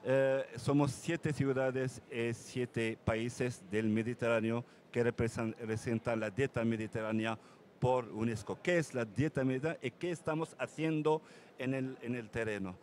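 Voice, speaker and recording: Halting man, many restarts. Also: mid-range voice, steady tone, a little mellow. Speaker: mayor. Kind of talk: conference panel talk. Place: conference panel.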